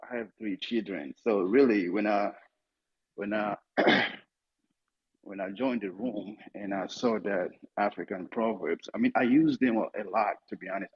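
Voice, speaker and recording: A man chats in a relaxed, rambling way, clearing his throat between thoughts. His voice is low and husky. The audio comes through muffled, like an online call. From Tanzania. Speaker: guest speaker. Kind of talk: casual chat.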